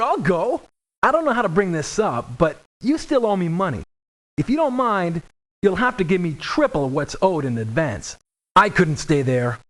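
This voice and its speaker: A woman's voice: medium pitch, lightly acted. Woman doing nervous rookie merc girl for a game, earnest, pleading, voice goes up and down a lot. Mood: pleading, hesitant. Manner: pleading, hesitant, apologetic. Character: anxious, earnest rookie mercenary on first assignment. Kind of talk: video game character lines.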